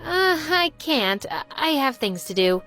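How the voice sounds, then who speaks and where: Woman playing a high-pitched, dramatic cheerleader girl. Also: big pitch swings, unhurried, bright, forceful tone, faint music behind, full character voice. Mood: indignant. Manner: sarcastic, exaggerated, dramatic. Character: feisty sarcastic teenage cheerleader girl, dramatic.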